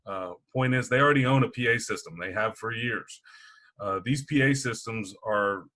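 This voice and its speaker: A man's voice: mid-range voice, normal speed, bright, forceful tone. A man presenting sales training in an informal, explanatory style.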